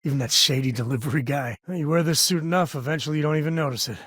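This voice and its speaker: An actor playing an easygoing, wisecracking space explorer cowboy in a video game, folksy and wry, with a sincere, devoted streak. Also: male voice, on the high side, racing, fairly bright tone, husky, dry recording, clean studio sound. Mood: casual, sincere. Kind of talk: naturalistic video game dialogue acting.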